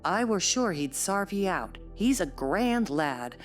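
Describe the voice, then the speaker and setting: A woman's voice: medium pitch, big pitch swings, slow, soft background music, pitched above the performer's own voice. Woman voicing a crackly old manservant. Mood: gloating. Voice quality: crackly, croaking. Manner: mocking, broad dialect. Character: spiteful old male servant, cackling, gloating.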